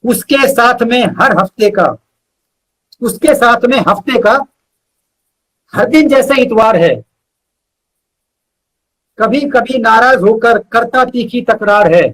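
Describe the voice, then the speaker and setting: A man's voice: very high-pitched, slow pace, long pauses, fairly bright tone, poor audio. A man reciting poetry as a guest poet, repeating lines for emphasis, with short asides to listeners.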